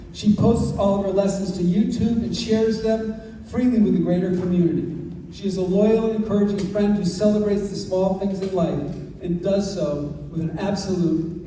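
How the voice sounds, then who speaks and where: A man giving a formal, admiring introduction of a teacher at a school assembly. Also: high voice. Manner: warm, admiring, formal delivery. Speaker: school administrator introducing a speaker. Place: large school hall. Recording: echoey hall from far off with room noise.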